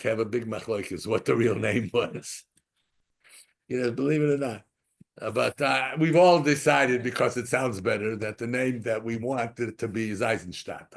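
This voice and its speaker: A man's voice: mid-range voice, creaky. A man giving an informal Torah class, asking rhetorical questions and mixing in Hebrew terms as he drifts into side stories. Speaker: Torah teacher.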